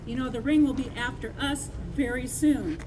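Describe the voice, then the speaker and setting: Female reenactor as a high, pleading frontier wife, noisy outdoor take. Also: steady tone, moderate pace, darkish tone, natural voice. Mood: anxious. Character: fearful frontier rancher's wife. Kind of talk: outdoor Wild West reenactment.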